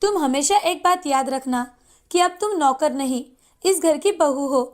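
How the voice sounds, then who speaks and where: A woman's voice: sits high, measured pace. A woman voicing a doting, class-proud wealthy mother of a grown son in a cartoon story, with an emotional, exclaiming manner. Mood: disapproving. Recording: music bed behind the voice.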